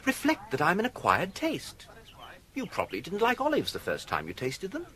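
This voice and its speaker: An actor playing an urbane, unflappable young English gentleman in a radio comedy, speaking in a florid, mock-formal manner. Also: high voice, wildly swooping pitch, normal speed, heavily acted. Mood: ardent, florid.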